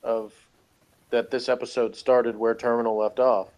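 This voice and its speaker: Male review co-host, mid-pitched, rambling and matter-of-fact, informal conversation. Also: little pitch movement, normal speed, a little mellow. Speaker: podcast co-host reviewing a TV show. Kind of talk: casual review chat.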